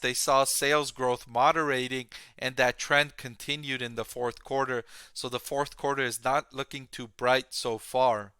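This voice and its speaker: A man hosting a stock analysis, explaining financial results to viewers plainly and deliberately. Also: mid-range voice, bright, forceful tone, smooth, dry recording.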